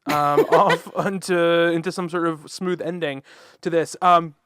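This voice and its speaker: A man's voice: fairly high pitch, fairly even intonation, unhurried, fairly bright tone, clean tone, no echo. A man hosts a casual video-call podcast, often laughing and filling gaps with "um". The sound is close and dry. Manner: laughing, trails into filler words. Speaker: podcast host. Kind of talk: casual chat. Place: home room, video call.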